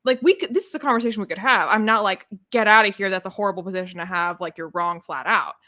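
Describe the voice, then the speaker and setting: Female co-host for casual book chat. Medium pitch, opinionated, animated delivery with sarcastic asides. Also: quick pace. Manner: animated, exasperated, sarcastic, acts out imagined quotes.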